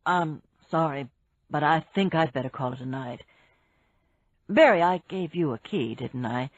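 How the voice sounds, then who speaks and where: A woman's voice: low-pitched, animated, a little slow, no room sound, voice pushed down. Woman voicing a subdued, polite upset man. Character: upset middle-aged man leaving early. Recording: dull, dry lo-fi recording.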